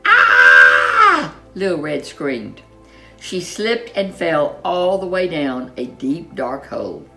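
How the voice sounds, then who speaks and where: Female storyteller, low pitch, slow unhurried pace, expressive children's story narration, music underneath. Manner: expressive, dramatic.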